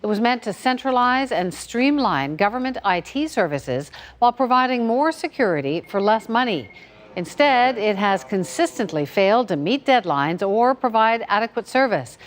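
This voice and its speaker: A woman anchoring a national newscast, reading the script in a crisp, measured way. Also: on the high side, very animated. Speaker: news anchor.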